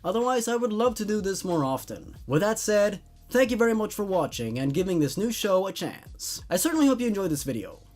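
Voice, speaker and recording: A man's voice: sits high, big pitch swings, brisk, few pauses, darkish tone. A man hosting a horror story show with laid-back, casual commentary, repeating phrases for emphasis.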